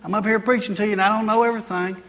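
A woman's voice: mid-range voice, fairly even intonation, very fast. An American woman preaching a sermon to a congregation in an emphatic, repetitive, exhorting manner. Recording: reverberant hall sound.

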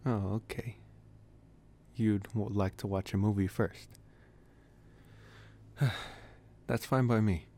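Low-voiced, intimate young male vampire, played by a man. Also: fairly even intonation, slow pace, pauses often. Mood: easygoing, accommodating. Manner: soft, intimate, hesitant phrasing with sighs and chuckles. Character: possessive, teasing young male college vampire, gently reassuring. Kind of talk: ASMR boyfriend roleplay.

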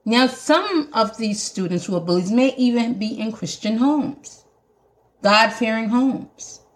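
A woman's voice: fairly high pitch, expressive, a little slow. A woman with a Jamaican accent hosting a podcast, talking earnestly and emphatically like a sermon. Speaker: podcast host. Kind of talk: preaching podcast monologue.

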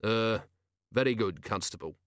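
A man's voice: on the low side, normal speed, dry recording, lightly acted. A man voicing a weary, dry, sardonic watch commander in an audiobook, with understated delivery. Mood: dry, bemused.

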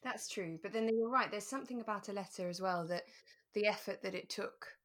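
A woman's voice: medium pitch, a little fast, fairly bright tone. A woman hosting a podcast in casual, chatty, giggly conversation about a radio drama. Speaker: podcast host.

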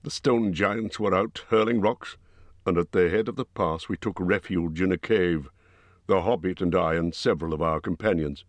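A man voicing an old wizard, calm, measured and tactful. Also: low-pitched, dry recording, natural voice.

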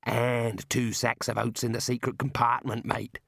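A man doing a gruff outback bloke. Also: medium pitch, flat delivery, a little slow, fairly bright tone, dry recording, clean studio sound. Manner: gruff, blunt, matey. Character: rough Australian outback man, cheerful and wild.

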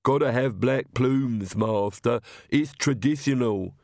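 A man voicing a slow, deferential yet stubborn hunched servant and coachman in a fantasy audiobook. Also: medium pitch, a little mellow, no echo, studio quality.

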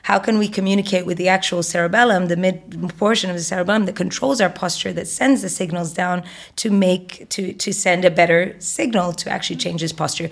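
A woman's voice: fairly low pitch, steady tone, brisk, vocal fry. A woman in her forties from Egypt, a fitness educator who talks as an interview guest, explaining fluently with frequent um and uh. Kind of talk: interview conversation.

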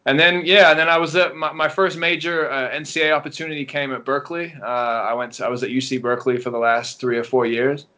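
A man's voice: medium pitch, fast talker, hardly any pauses, dull audio. English man telling his story. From England. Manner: relaxed storytelling with many ums and restarts. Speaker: guest track and field coach. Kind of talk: casual podcast interview.

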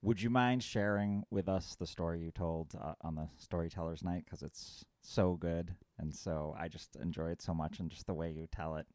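A podcast host with a very deep voice keeps an easy interview chat going. The sound is dry and close, with no room echo. Short affirmations come often while the guest talks. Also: fairly even intonation, moderate pace, hardly any pauses, darkish tone. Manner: affirming, frequent "right" and "yeah" backchannels.

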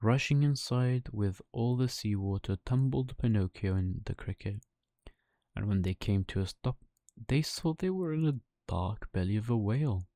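A man's voice: lowish voice, animated, normal speed, dark tone, no room sound, studio quality. A man reading a children's bedtime story as its narrator.

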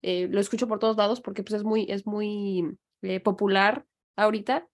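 A Mexican woman hosting a podcast, talking in long reflective stretches that turn animated. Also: medium pitch, moderate pace. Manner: reflective, animated, speaks in long flowing thoughts. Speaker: podcast host. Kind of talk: casual conversational chat. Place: living in New York.

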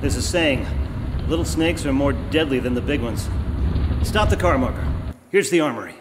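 A man playing an astute, grave gentleman sleuth in an old-time radio drama, measured and knowing. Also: mid-range voice, expressive, natural voice. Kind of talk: 1930s-style live radio drama acting. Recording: echoey live hall, noisy.

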